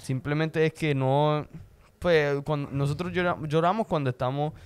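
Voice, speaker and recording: A man who is a native English speaker, talking casually about faith as a podcast co-host, often pausing to find words and slipping into English. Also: fairly high pitch, fairly even intonation, clear, resonant tone, no room sound. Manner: halting, searches for words, slips into English. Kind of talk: casual religious discussion.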